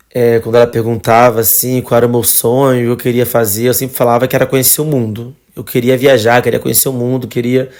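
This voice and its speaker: A man in his thirties with a Carioca accent, telling his life story as a podcast guest in a relaxed, conversational way. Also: mid-range voice. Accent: Carioca Portuguese. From Rio de Janeiro, Brazil. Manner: relaxed, conversational, with fillers and trailing phrases. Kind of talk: casual personal storytelling.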